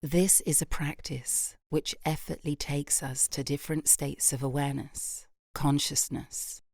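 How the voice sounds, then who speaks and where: A British woman guiding a yoga nidra meditation in a soft, gentle, unhurried voice with long pauses, breathy at phrase ends. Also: deep voice, fairly even intonation, no room sound, clean studio sound. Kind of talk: guided meditation, slow and soothing.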